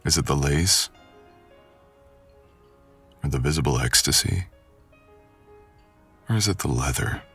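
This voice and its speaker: Man voicing a very deep, gravelly seductive stalker. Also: lively intonation, fairly bright tone, soft background music, exaggerated voice. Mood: amused, intrigued. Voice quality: gravelly, breathy.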